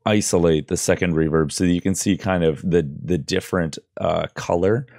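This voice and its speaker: Deep voiced guy doing a chill screen tutorial, kinda hesitant explaining, close dry mic. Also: fairly even intonation, normal speed, creaky, darkish tone, no room sound. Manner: informal, hesitant, loosely explaining. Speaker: audio tutorial presenter. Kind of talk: casual screen-recorded tutorial. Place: indoors at a computer desk. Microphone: close boom-arm microphone with headphones.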